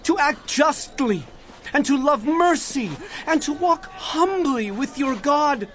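A man's voice: very high-pitched, animated, slow pace, fairly bright tone, full character voice. An actor playing an earnest male prophet in a dramatized audio Bible, declaiming solemnly to his people. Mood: urgent, impassioned. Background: dramatic music.

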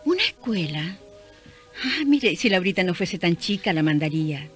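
A woman playing a kindly, hopeful shopkeeper mother in a radio drama, speaking gently and reassuringly in conversation. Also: on the low side, big pitch swings, moderate pace, soft background music. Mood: friendly.

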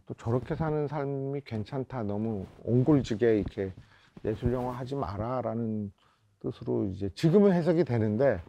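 A man in his fifties from South Korea reminisces as a film director in a reflective interview, often pausing to search for words. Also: medium pitch, a little mellow. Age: 50s. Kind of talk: reflective oral-history interview. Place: indoor interview set before a photo backdrop.

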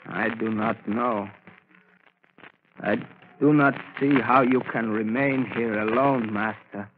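A superstitious manservant of old radio drama, played by a man, speaking in stiff, halting phrases. He is very slow, and the recording is phone-thin with a faint noise. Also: mid-range voice, fairly even intonation, lightly acted. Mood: servile, uneasy. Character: slow, servile, superstitious manservant to a cruel master. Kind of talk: 1930s radio drama acting. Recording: tinny, noisy 1930s radio recording.

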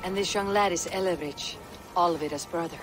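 A woman's voice: on the low side, moderate pace, over music, natural voice, rough recording. A woman playing a blunt, battle-hardened Viking warrior in a video game. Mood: steady. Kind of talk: video game drama acting. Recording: background ambience under game dialogue.

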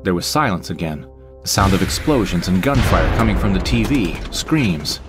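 A man's voice: sits low, lively intonation, normal speed. A man narrating a scripted sci-fi audio drama in the first person. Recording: faint music behind the voice.

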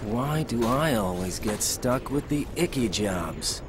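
A man's voice: mid-range voice, expressive, takes it slowly, heavy background noise. An actor voicing an exasperated, sardonic young man in a video game, loyal beneath his drawling, emotional grumbling. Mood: wry grumbling. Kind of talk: dramatic game cutscene acting.

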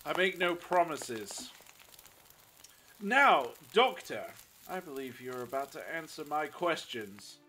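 A man's voice: fairly high pitch, very animated, slow, sharp tone, exaggerated voice, low-quality recording. A man playing a suspicious, coldly insistent interrogator in an audio drama, pointed and sardonic. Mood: menacing.

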